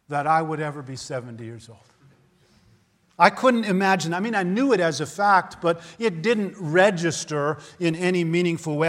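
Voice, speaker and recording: A man's voice: on the high side, a bit bright, in a large hall. A man in his fifties preaching a sermon in an earnest, conversational way, often asking his listeners rhetorical questions. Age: 50s. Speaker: pastor.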